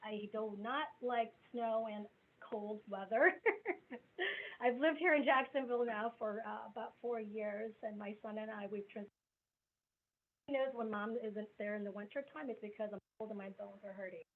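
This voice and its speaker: A woman's voice: on the high side, moderate pace. A woman in her forties from the American Midwest, giving a candid, conversational self-introduction as a business coach on a video call. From grew up in the US Midwest. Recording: tinny phone-like video call line with faint noise.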